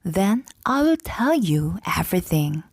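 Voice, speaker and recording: Woman reading as a measured, earnest soldier. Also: on the low side, big pitch swings, a little mellow, breathy edge, no room sound, studio quality, voice pushed down, exaggerated voice. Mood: earnest, requesting. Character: brave soldier, a man, earnest and careful.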